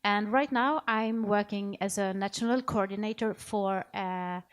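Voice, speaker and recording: A woman speaking informally on a panel, in halting phrases with self-corrections. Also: medium pitch, fairly even intonation. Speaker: panelist, music project coordinator.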